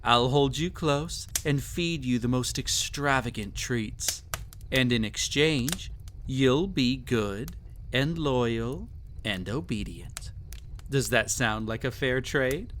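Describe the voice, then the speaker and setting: Guy doing a smug cruel emperor for roleplay audio, unhurried, really swoopy pitch, silky n patronizing. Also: expressive, fairly bright tone, slight background noise. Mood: possessive, condescending. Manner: condescending, silky, imperious, teasing. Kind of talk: intimate scripted roleplay audio drama.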